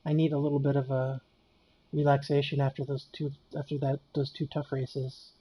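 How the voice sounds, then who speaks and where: A man in his late fifties with a fairly high-pitched voice, chatting casually and poking fun at himself while he streams a racing game. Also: moderate pace, husky. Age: 50s. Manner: relaxed and chatty, answers chat, self-deprecating. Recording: loud game music behind the voice. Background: game music and car engines.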